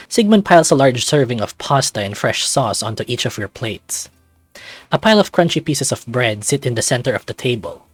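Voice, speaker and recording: A man's voice: medium pitch, slightly rough, no room sound. A man hosting a casual playthrough, reading a visual novel's narration aloud.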